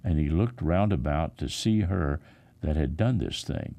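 A man, a pastor, reading the Bible aloud in a solemn, dramatic style with measured, expressive emphasis. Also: a bass voice.

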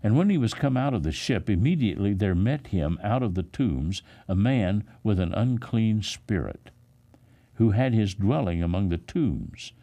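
A man, a pastor, reading the Bible aloud in a solemn, dramatic style with measured, expressive emphasis. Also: on the low side.

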